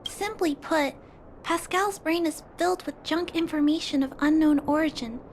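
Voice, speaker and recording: A sweet earnest little girl, really high voice, polite and soft, game music behind. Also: moderate pace, a little hiss or hum. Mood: earnest gentle. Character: kind, earnest young girl who fixes robots.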